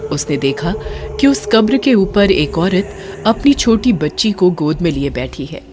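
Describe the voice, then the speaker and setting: A woman's voice: fairly low pitch, sing-song, a little slow, background noise. A woman narrating a scripted horror story in a dramatic storytelling style.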